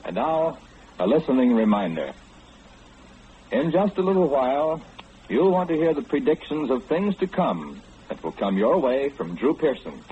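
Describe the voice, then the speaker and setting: A man's voice: medium pitch, very animated, moderate pace, slight background noise. An American man announcing in 1940s network radio style. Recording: muffled old radio recording, loud music.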